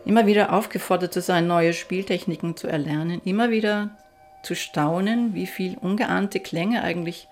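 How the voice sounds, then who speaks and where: Casting: middle-aged Austrian-raised woman violinist, low gravelly voice, thoughtful and warm about her craft. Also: normal speed, faint music behind. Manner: reflective, enthusiastic about her work. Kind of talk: documentary interview.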